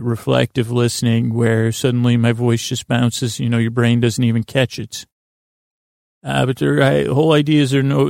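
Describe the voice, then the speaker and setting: Creaky guy doing a rambly bedtime podcast, dry close sound, keeps tripping over his words. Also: medium pitch, darkish tone, dry recording. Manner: halting, stumbling, self-interrupting, circling back on words; self-deprecating, goofy wordplay. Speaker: podcast host. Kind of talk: rambling, meandering bedtime sleep podcast monologue.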